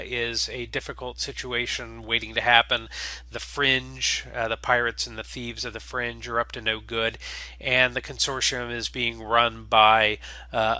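A man gives a casual spoken introduction as host. His voice is strikingly bright and forward, and he moves along haltingly, dropping in uh after uh. The sound is dry and close, with very little room on it. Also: mid-range voice, no echo. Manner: halting, with very frequent uhs. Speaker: host and game creator.

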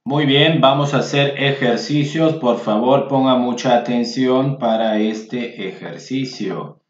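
Slow, patient male Spanish teacher. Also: medium pitch. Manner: slow, patient, repeats phrases for learners. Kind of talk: reading workbook exercises aloud. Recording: roomy, dull lo-fi sound.

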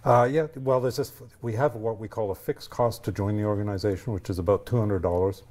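A man's voice: fairly low pitch, fairly even intonation, a little fast, a little mellow. A man in his sixties giving explanations as a guest in a television interview. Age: 60s. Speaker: ski patrol leader, interview guest. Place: TV studio, indoors. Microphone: lapel mic.

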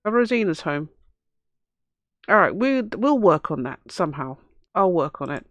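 A woman with a hoarse voice from a cough, chatting casually as she plays a life-sim game and talks to her characters. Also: on the low side, big pitch swings, normal speed, dry recording. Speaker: let's play host. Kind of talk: casual gameplay commentary.

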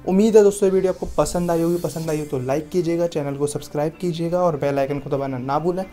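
A man's voice: a little high, fairly even intonation, fast, mellow. A man with an Indian accent giving a casual tutorial voice-over as a video host, often addressing viewers as friends and repeating himself. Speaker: YouTube app reviewer, host. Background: background music.

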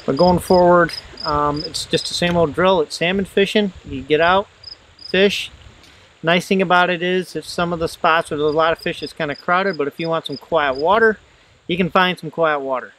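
A man's voice: on the high side, normal speed, fairly bright tone, low-quality recording. A middle-aged American man, a fishing guide giving a casual report outdoors in a folksy, plain-spoken way. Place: outdoors in an open riverside meadow. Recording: outdoor insect and background noise.

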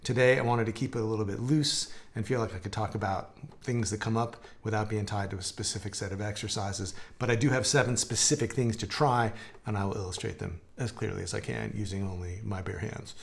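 Man, 40s, guitar teacher, casual explaining, slightly gravelly throat, home music room. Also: fairly low pitch, brisk. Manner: relaxed, conversational, explaining with hand gestures and demonstrating. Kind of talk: live-streamed guitar lesson.

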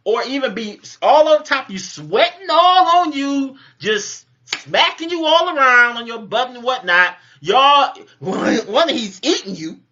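A man's voice: very high-pitched, unhurried, hardly any pauses. A man in his thirties co-hosting a casual live chat from a home kitchen, teasing and laughing as he argues. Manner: animated, teasing, laughs a lot. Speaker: co-host. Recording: muffled lo-fi webcam audio.

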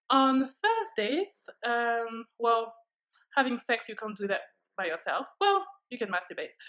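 A woman's voice: a little high, fairly bright tone. French woman chatting candidly to camera. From France. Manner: candid, reflective, with frequent fillers. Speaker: vlogger. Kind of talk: casual talk to camera. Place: living room, seated on a leather sofa.

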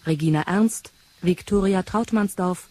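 A woman's voice: lowish voice, a little slow, dark tone. A woman giving a formal, scripted closing announcement as a radio announcer.

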